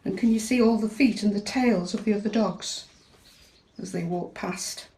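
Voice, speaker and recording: A British woman teacher reading a picture book aloud to young children, expressive and chatty, often asking her listeners questions. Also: mid-range voice, normal speed, slight background noise. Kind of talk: animated children's storytime read-aloud with chatty asides. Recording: echoey, reverberant room.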